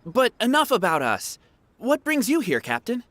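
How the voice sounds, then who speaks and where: An actor voicing an earnest, excitable young war veteran in a video game. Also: male voice, very high voice, moderate pace, no echo, exaggerated voice. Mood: friendly, explaining.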